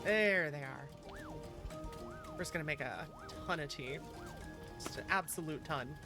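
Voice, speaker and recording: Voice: medium pitch, measured pace. A playful, chatty VTuber streamer talking casually to viewers while playing a game, in a mid-range voice. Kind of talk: casual livestream chat, reading game dialogue aloud. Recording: music behind the voice. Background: game music.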